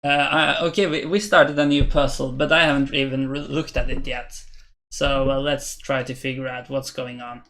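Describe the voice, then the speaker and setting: A man's voice: medium pitch, level tone, moderate pace, a bit bright, slight background noise. A young man in his twenties from Norway, with a Norwegian accent, chatting hesitantly and thinking aloud as he streams chess and answers viewers. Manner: hesitant, thinking aloud while reading chat. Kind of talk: casual live-stream commentary.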